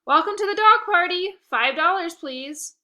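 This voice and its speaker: A woman voicing an eager, scheming kid in a read-aloud storybook, exclaiming and pushing a sales pitch. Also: animated, measured pace, bright, forceful tone, full character voice. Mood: excited, welcoming. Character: eager, scheming kid hosting a dog party.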